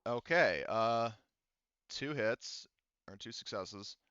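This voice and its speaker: A man's voice: medium pitch, animated, slow, sharp tone, clean tone, no room sound, lo-fi sound. A man acting as game master, casually narrating a tabletop game and muttering to himself as he checks rules and counts.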